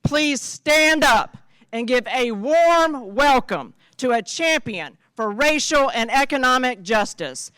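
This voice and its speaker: Woman emcee introducing a speaker, slow deliberate delivery, clear and smooth, dry close mic. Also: sits high, animated, a bit bright, no room sound. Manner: slow, emphatic announcing. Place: convention hall.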